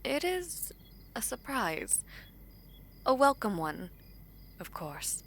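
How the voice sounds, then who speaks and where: A woman playing a composed, courteous royal sibling in an audio drama, speaking formally in measured, halting phrases with guarded curiosity. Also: a little high, sing-song, vocal fry, a bit bright, a little hiss or hum, heavily acted. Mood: guarded, musing. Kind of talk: romantic audio roleplay acting.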